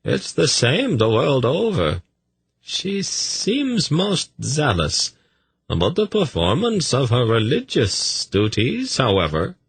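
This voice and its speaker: A smug, oily male schemer, acted out by a man narrating a fantasy audiobook, with a lifted, swooping pitch. He draws his words out in an airy, self-satisfied way, and the voice has a husky grain. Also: wildly swooping pitch, no room sound, heavily acted. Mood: sighing, worldly. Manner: sighing, drawn-out, self-satisfied.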